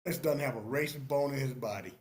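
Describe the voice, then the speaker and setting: A man speaking casually and emphatically as a writer in an online meeting, halting and repeating phrases for emphasis. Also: medium pitch, darkish tone. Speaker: screenwriter responding to feedback. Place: online live meeting room.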